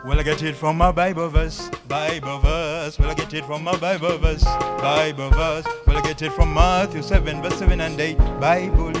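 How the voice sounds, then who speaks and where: A man's voice: a little high, steady tone, normal speed, few pauses, loud music behind the voice. A man with a Ugandan accent performing live spoken word poetry, chanting and singing with theatrical repetition.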